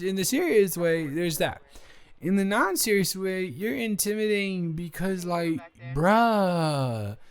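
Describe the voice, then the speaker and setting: Audio drama role, male actor: tipsy young male roommate, lovestruck and sheepish, rambling slowly with big swoops in pitch. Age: young adult. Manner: rambling, stumbling, slangy, exaggerated. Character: drunk, rambling young college roommate, sheepishly confessing love. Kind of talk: improvised roleplay audio drama, one-sided conversation.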